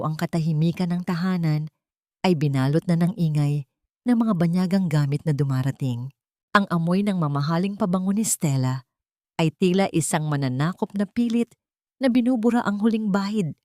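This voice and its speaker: A woman's voice: lowish voice, a little mellow, no echo, clean studio sound. A woman narrating a dramatic scripted audio story as a storyteller.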